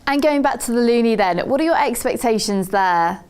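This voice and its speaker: A woman presents a financial news interview. Her voice sits on the higher side, and she speaks as a TV host putting questions to a guest. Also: lively intonation, a little fast, darkish tone, clean tone.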